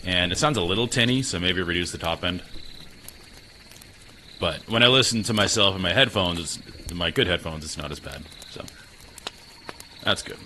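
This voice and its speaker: A man with a deep voice chats easily to his viewers from a small spare room. His tone comes across sharp and bright through a slightly tinny mic. A faint hiss sits underneath. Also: moderate pace, long pauses. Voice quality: low voice. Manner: chatty, self-deprecating, talks to chat. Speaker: gaming streamer. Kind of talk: casual live-stream chat. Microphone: desk mic.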